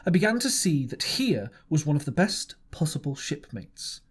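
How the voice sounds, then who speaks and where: A man's voice: a little high, moderate pace, breathy edge. Man reading a storybook aloud. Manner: expressive storytelling. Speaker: audiobook reader.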